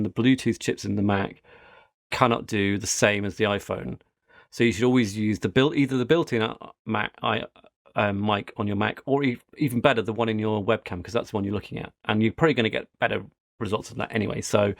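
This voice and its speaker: A man's voice: medium pitch, no echo, studio quality. A middle-aged British man hosting a podcast, chatting casually in a rambling, anecdotal way. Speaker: podcast host. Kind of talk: casual tech podcast chat.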